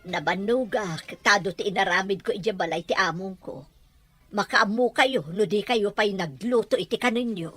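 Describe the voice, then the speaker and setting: A woman's voice: mid-range voice, normal speed, pushed and bright, breathy edge, soft background music. A woman with a Filipino accent acting plaintive, put-upon family women in an audio drama. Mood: weary, plaintive.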